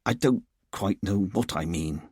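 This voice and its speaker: A man's voice: lowish voice, very animated, a little fast, breathy edge, no room sound, studio quality. A man voicing a formal, easily flustered British police chief who blusters and stammers in an audiobook story.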